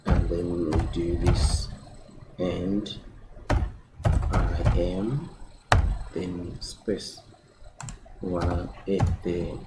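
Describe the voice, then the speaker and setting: Casual coding tutor in his twenties, slow deliberate delivery, audible typing in the background. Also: low voice, little pitch movement. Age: 20s. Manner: repeats words and adds "right?" often. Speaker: programming tutor. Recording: keyboard typing and room noise behind.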